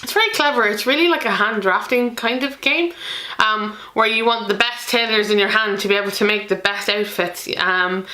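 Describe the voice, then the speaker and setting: A woman's voice: medium pitch, moderate pace, pushed and bright, reverberant. A woman from Ireland with an Irish accent, hosting a board game channel in a chatty, self-deprecating way with many ums. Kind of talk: chatty solo video to camera.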